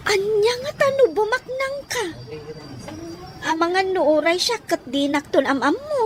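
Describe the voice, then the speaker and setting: A woman's voice: very high-pitched, very animated, moderate pace. A woman playing a modest, affectionate young woman in an audio drama, respectful to her elders. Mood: teasing. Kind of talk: acted Ilocano audio drama.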